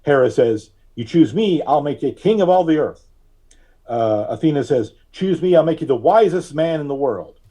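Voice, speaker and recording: Guy guest on an interview, kinda husky and mellow, explains stuff with long pauses. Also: a little high, expressive. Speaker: guest, filmmaker. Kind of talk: conversational interview storytelling.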